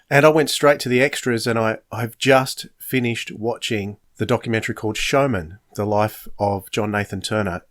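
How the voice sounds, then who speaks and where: Man, Australian accent, podcast host, casual solo chat, mid-range voice. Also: mid-range voice.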